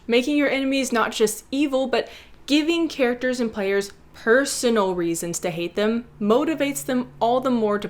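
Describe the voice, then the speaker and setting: A woman with a slightly high voice hosts an educational video essay. She reads scripted narration and addresses the audience playfully, as a teacher would a class. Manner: playful schoolteacher address to viewers.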